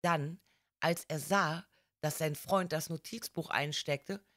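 Female audiobook narrator: slightly low voice, slow and measured storytelling. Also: a bit bright, no echo, studio quality. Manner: measured, careful reading.

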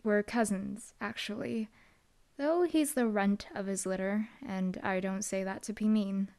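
A woman's voice: medium pitch, fairly even intonation, unhurried, a little mellow, lightly acted. A young woman voicing a dry, teasing female hellhound demon bodyguard in an audio roleplay. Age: young adult. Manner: dry, teasing, laid-back.

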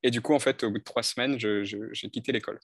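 A man's voice: medium pitch, steady tone, quick pace, dry recording. Thoughtful man on a headset, dry sound. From northern France. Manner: thoughtful, hesitant, many restarts. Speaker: coach and speaker. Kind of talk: telling his life story in an interview. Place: bright indoor room. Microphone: headset on video call.

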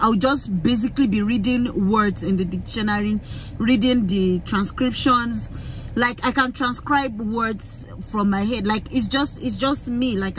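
A young Nigerian woman with a Yoruba-flavoured accent, vlogging into a phone in a car, talking in an animated, emphatic way with many fillers and restarts. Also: mid-range voice, slight background noise, low-quality recording. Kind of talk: casual rant to camera. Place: inside a parked car. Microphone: phone camera held close.

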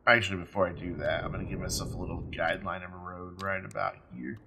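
A man with a rough, raspy voice from being sick, talking casually as he plays a game, rambling with ums and blunt asides. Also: low-pitched, fairly even intonation, fast, noisy background. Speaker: let's play host. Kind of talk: casual gameplay commentary.

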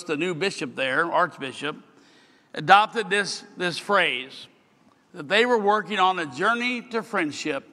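An older man, a bishop, giving an earnest sermon address at a podium, slowing down as he reads scripture. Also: high-pitched, sharp tone. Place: large hall at a podium. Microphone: podium microphone. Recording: long hall reverb.

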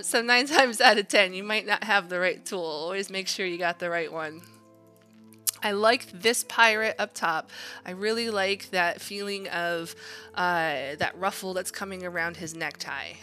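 A woman with a bright, forward voice teaches like an art instructor at work. She talks through her choices informally, chatty and encouraging. Faint music plays behind her. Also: on the low side. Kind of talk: informal drawing demonstration, thinking aloud.

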